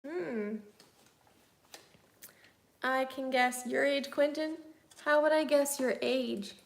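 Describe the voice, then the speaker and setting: A woman's voice: high voice, slow, creaky. A woman in her thirties chatting easygoingly on a livestream, reading out and replying to viewer comments. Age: 30s. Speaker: livestream host. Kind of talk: casual live chat answering viewer comments.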